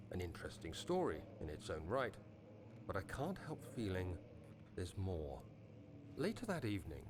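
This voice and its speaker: An actor playing a male 1830s explorer, reading his expedition diary in a measured, solemn way as game narration. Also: deep voice, background noise. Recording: game voice-over with loud music underneath.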